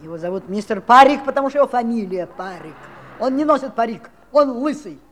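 Casting: woman as brisk, bossy magical nanny, highish voice, swinging intonation, slightly noisy vintage recording. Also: expressive, natural voice. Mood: stern. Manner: curt, commanding, matter-of-fact. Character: strict, brisk, self-assured magical English nanny. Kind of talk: 1960s audio play acting.

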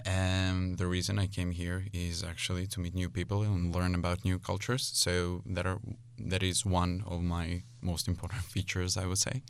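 A man's voice: sits low, level tone, normal speed, few pauses, clean tone, no room sound. A man, an outgoing medical student answering as a guest. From Serbia. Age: 20s. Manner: outgoing, friendly.

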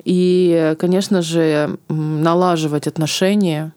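A woman's voice: deep voice, steady tone, unhurried, dark tone, no echo. Female podcast host, shaky tearful voice, hesitant and heartfelt, dry close-miked sound. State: voice trembling from tears. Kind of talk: podcast conversation and sign-off.

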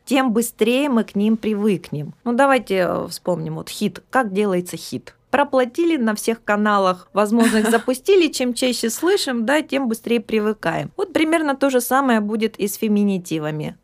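A middle-aged woman speaking as a philologist guest in a podcast interview, explaining at an even, measured pace and now and then losing her thread. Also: fairly high pitch. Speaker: philologist guest, department head.

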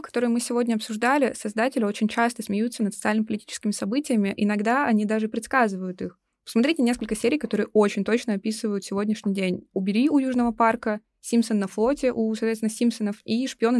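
A woman's voice: a little high, steady tone, fast, hardly any pauses, a little mellow, no room sound. A woman co-hosting a podcast, talking in a casual discussion style. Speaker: podcast co-host.

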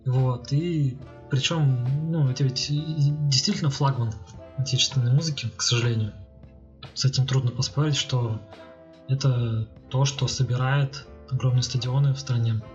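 A man talks casually as a podcast co-host, working through arguments out loud with hesitant pauses. The sound is slightly dull, with music playing behind him. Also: medium pitch, fairly even intonation. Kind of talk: casual discussion.

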